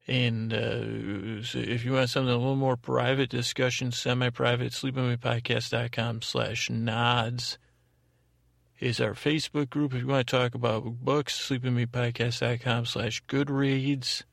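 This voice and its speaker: A male podcast host with a creaky voice rambles in a halting, meandering way. His pitch stays fairly even as he wanders into tangents and repeats himself. The sound is dry, with little room around it. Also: dry recording.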